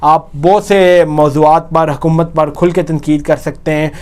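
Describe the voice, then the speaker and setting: Higher-pitched Pakistani-accented male news presenter. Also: steady tone, measured pace, darkish tone. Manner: explanatory, often rephrasing and repeating points. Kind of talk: news report with explanatory commentary.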